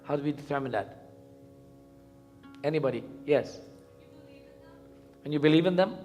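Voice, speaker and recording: High-voiced Guyanese man preaching, music behind. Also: warm tone. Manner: didactic, questioning, deliberate repetition. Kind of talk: interactive sermon. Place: live event in a temple before a congregation.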